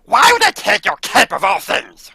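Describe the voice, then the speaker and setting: A man acting out a jealous has-been circus performer in a 1940s-style radio play, shouting with spite. The voice is bright and gravelly, with lively swings in pitch. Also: normal speed, no echo, studio quality, full character voice. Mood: spiteful. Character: jealous fading female circus cat trainer.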